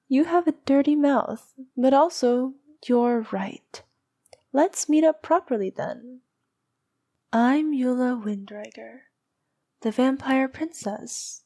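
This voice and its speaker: Vampire princess, played by a woman: regal, teasing, needy; higher pitch, unhurried intimate ASMR delivery with whispery edges. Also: dark tone. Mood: playful, haughty. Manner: slow, teasing, regal, often dropping to breathy whispers. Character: noble but needy flirtatious vampire princess.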